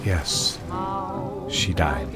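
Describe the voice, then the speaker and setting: A man playing a devoted but guarded new husband in a period audio drama, speaking with formal, courteous, measured endearments. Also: extremely low pitch, over loud music, exaggerated voice.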